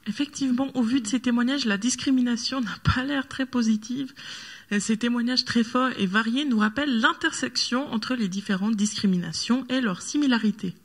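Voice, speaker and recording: A woman's voice: on the high side, fairly quick, slightly rough. A young woman co-hosting a youth radio show, reading her lines from a script. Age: young adult. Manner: reads from a script. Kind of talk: read radio presentation.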